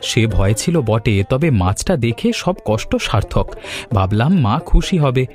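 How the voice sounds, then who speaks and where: A man's voice: medium pitch, measured pace, faint music behind. A man playing a devoted, food-loving Bengali son in a cartoon, affectionate and contented in manner. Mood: pleased. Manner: affectionate, expressive. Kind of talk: animated moral story voice acting.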